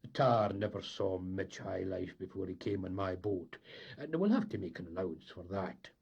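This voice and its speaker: Soft-spoken old skipper, a bit fussy, man voicing him, husky lo-fi. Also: low voice, animated, normal speed, exaggerated voice. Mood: soothing. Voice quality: mild, gently fussy. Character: mild elderly Scottish boat captain. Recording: dull lo-fi recording.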